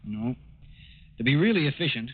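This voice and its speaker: Methodical, serious technical expert, mid-range voice, deliberate delivery, narrow vintage radio sound. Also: male voice, mid-range voice, very animated. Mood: grave, explaining. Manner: deliberate, explanatory. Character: grave, methodical male FBI lab examiner. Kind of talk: 1940s radio acting. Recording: tinny vintage radio recording.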